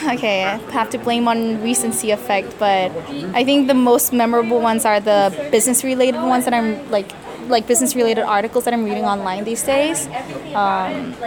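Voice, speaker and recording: A young woman from Korea, a native Korean speaker, answers questions in a slightly high voice. She hesitates often and fixes her words as she goes. Crowd chatter is clearly heard behind her. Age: young adult. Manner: hesitant, many fillers and self-corrections. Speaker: interviewee, student. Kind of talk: casual interview answers.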